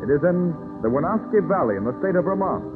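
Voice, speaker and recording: Guy playing grand solemn radio play narrator, old tinny recording, loud music behind. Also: on the high side, animated, quick pace, close to an everyday voice. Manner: solemn, stately, declamatory. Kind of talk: 1940s radio drama scripted narration.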